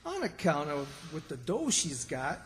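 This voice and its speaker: A naive country bumpkin played by a man in old-time radio comedy style. He drawls slowly and folksily, excitable and swooping in pitch, with long hall reverb. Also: a little hiss or hum. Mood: curious.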